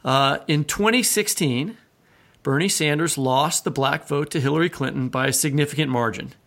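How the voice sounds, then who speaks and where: Higher-voiced American man hosting a podcast. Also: moderate pace, a bit bright. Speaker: podcast co-host. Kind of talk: conversational podcast hosting, partly scripted.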